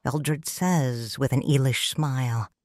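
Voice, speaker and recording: A woman narrating a first-person audio drama as a grieving, proud noble widow, in a measured, solemn, formal manner. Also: very deep voice, steady tone, darkish tone, no echo, clean studio sound.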